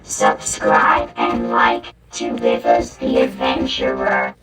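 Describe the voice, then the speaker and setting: A woman's voice: a bass voice, wildly swooping pitch, slow pace, vocal fry, echoey room, loud music behind the voice. A woman reciting a promotional line through novelty voice-changer filters. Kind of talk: repeated channel promo line.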